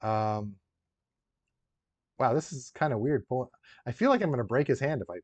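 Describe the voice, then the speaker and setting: A man's voice: mid-range voice, lively intonation, normal speed. A man hosting a casual live stream as a toy collector, chatting with viewers in a rambling, joking way full of dry, teasing asides. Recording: roomy echo, dull lo-fi sound.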